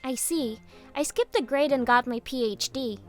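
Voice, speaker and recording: A cheeky young female office junior, played by a woman, sure of herself and acted in manga dub style. Her voice sits high. Faint music runs underneath. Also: normal speed, lightly acted. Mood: polite, cool. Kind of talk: English manga dub acting.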